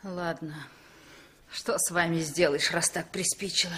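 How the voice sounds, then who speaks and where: An actress in a 1960s Soviet radio play as a bus station cashier, businesslike and plain-spoken but obliging. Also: female voice, lowish voice, fairly even intonation, a little slow, fairly bright tone.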